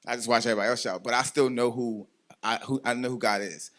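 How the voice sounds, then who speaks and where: A man's voice: medium pitch, fairly even intonation, quick pace, a bit bright. A man in his forties hosting a talk show, rambling with many ums and laughing often. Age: 40s. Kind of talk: casual chat interview.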